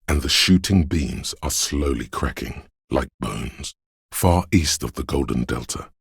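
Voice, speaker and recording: A man voicing a brooding, all-seeing city spirit in a game, husky and gravelly, narrating in slow, solemn, poetic lines. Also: very deep voice, no room sound, studio quality, heavily acted. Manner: ominous, solemn, measured, hushed. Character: Shivers, brooding omniscient spirit voice of a windswept city.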